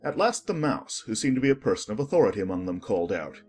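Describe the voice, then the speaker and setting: A man narrating a children's classic as an audiobook, in expressive scripted storybook style. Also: lowish voice, fast talker, soft background music.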